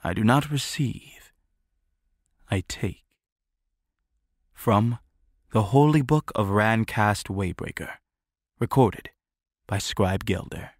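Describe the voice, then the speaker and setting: A man voicing a grandiose immortal tyrant in an audiobook, declaiming solemnly and pompously with deliberate weight. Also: on the low side, dry recording, studio quality, voice pushed down. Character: grandiose immortal male tyrant declaiming his holy book.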